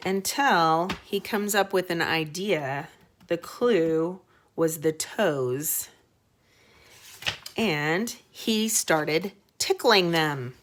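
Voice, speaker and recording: A woman's voice: fairly low pitch, fairly bright tone, slight background noise. A woman elementary teacher explaining a story to young children in simple, conversational words, stopping for short check-in questions. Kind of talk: read-aloud reading lesson for young children.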